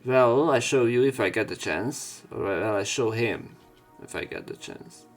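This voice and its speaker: A man's voice: mid-range voice, animated, unhurried, faint music behind. A man reading a visual novel's text aloud, breaking off now and then for casual, jokey commentary. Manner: casual, jokey asides between read lines. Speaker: let's play narrator.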